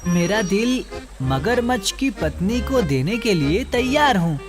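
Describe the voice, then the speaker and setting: A clever cartoon monkey, acted by a man, playful and exclamatory. The voice swings widely in pitch and draws words out slowly, with music clearly playing behind. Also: sing-song, exaggerated voice. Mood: alarmed, crafty. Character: trusting, clever, sweet-toothed male monkey. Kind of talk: children's cartoon story acting.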